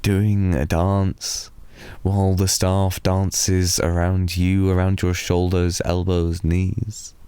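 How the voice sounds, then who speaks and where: Slow, deep-voiced British man murmuring. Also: level tone, clean tone, dry recording. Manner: soft, slow, drowsy storytelling. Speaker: ASMR boyfriend roleplayer. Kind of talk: improvised sleepy ASMR rambling.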